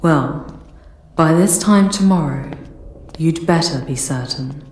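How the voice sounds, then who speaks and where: A woman acting a coolly scheming castle servant in a dramatic ASMR roleplay, measured and conspiratorial, in an echoey space. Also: sits low, a little mellow. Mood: ominous, calculating.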